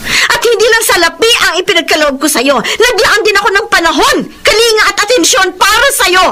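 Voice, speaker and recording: High-pitched, melodramatic impassioned mistress. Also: female voice, animated, fairly quick. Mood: indignant, defensive. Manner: emphatic, melodramatic. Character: impassioned mistress pleading and defending her love for a married man. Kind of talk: Filipino radio drama acting.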